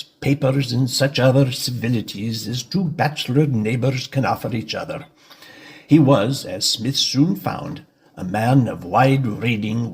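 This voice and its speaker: A man narrating a literary story from a script in a measured, formal manner. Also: medium pitch, breathy edge.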